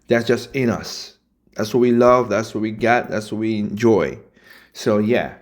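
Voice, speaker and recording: A man in his forties hosting a gaming channel, speaking in casual commentary, often rising to loud, emphatic outbursts. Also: fairly low pitch, measured pace. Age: 40s. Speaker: channel host reacting to clips.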